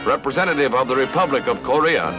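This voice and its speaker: A man's voice: mid-range voice, expressive, fast talker, muffled recording. An American man narrating a 1950 newsreel in a dramatic, urgent announcer style. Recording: vintage 1950 newsreel soundtrack. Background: loud music.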